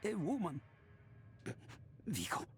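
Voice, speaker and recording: An actor playing a dying, horrified soldier in a game cutscene, gasping in pain and speaking in halting, desperate fragments. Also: male voice, high-pitched, wildly swooping pitch, faint music behind, exaggerated voice. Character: dying soldier, horrified survivor of a demonic massacre. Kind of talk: dramatic video game cutscene acting.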